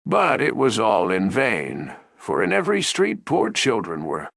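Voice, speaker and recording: Expressive male storyteller, very lively pitch. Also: measured pace, gravelly, dry recording, clean studio sound. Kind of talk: bedtime fairy tale narration.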